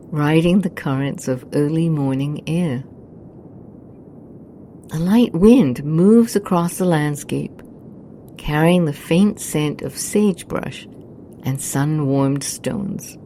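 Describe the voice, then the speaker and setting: A woman narrating a scripted guided meditation in a soothing, hushed, unhurried manner. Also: low-pitched, slight background noise.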